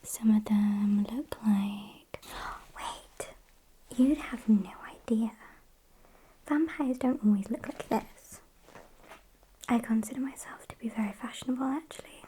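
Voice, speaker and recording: A British woman playing a sassy, morbid gothic vampire kidnapper in a whispered ASMR roleplay, soft, unhurried and deadpan. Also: on the high side, a little hiss or hum, low-quality recording. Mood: chatty, smug. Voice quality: breathy whisper.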